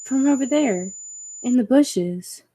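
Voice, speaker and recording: A woman playing a bold, absorbed professor and inventor in a 1940s-style radio play, with a deliberate, commanding, theatrical delivery. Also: a little high, animated, mellow. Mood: intent. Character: Dudley, bold, absorbed scientist and professor inventor of a time machine.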